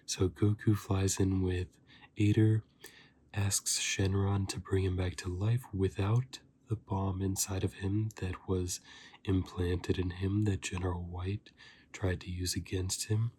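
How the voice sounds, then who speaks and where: A man giving a softly spoken ASMR review as a host, breathy and often slipping into a whisper, chatting informally and rambling like a fan. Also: low voice, flat delivery, unhurried, slight background noise. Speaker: host of an anime review channel.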